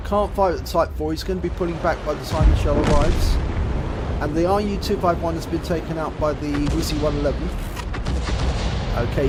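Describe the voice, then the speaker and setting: A man commentating on a tank game replay, a bit rough and nasal from a cold, explaining each shot and the damage it does. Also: fairly high pitch. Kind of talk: gameplay commentary over a replay. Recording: loud game battle sounds behind voice. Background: tank game gunfire and engines.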